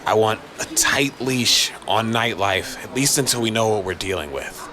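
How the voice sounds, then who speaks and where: A man's voice: lowish voice, normal speed, crowd noise, close to an everyday voice. A man voicing a determined, insistent New York police detective, urgent and streetwise, in a noir audio drama. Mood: resigned, firm.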